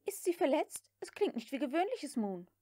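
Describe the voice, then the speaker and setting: A woman's voice: high-pitched, very animated, moderate pace, mellow, no echo, clean studio sound, voice pushed up. A woman voicing an exhausted, harsh young mistress in an audiobook, giving curt commands and scolding. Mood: decisive. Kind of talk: audiobook character acting.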